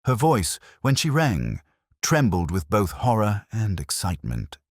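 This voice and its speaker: A man's voice: fairly low pitch, huge pitch swings, measured pace, no room sound, studio quality. A man reading scripted narration of a detective mystery audiobook.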